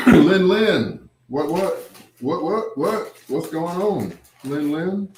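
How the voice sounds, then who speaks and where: A man chatting casually with live viewers as a livestream host, rambling and repeating phrases, with drawn-out greetings. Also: on the high side, expressive, a little mellow, slight background noise. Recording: roomy, echoing sound.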